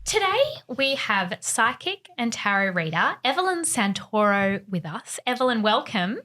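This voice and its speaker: A woman hosting a podcast, animated and upbeat as she interviews her guests. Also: on the high side, moderate pace. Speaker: podcast host. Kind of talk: casual podcast chat.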